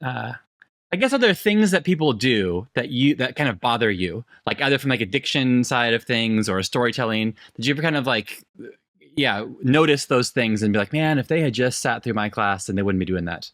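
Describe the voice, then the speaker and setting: A man hosting a podcast interview, affirming his guest warmly and asking loosely phrased questions. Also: medium pitch, animated, fairly quick, dry recording, studio quality. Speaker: podcast host. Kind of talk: conversational interview.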